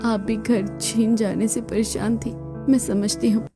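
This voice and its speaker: A woman voicing a kind, sensible young daughter-in-law in a cartoon story, gentle and respectful. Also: fairly high pitch, level tone, measured pace, over music. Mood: concerned, forgiving. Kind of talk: Hindi cartoon story acting.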